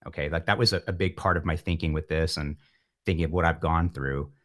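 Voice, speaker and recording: A man in middle age with a low-pitched voice, speaking as a musician being interviewed. He reflects philosophically and thinks aloud, with a wry streak to his delivery. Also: steady tone, a little fast. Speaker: musician, podcast guest.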